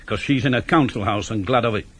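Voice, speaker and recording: Dry, blunt British police inspector. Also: male voice, on the low side, quick pace, slightly rough. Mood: brooding, indignant. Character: seasoned, cynical male police inspector, brusque and shrewd. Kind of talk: 1980s British radio drama acting.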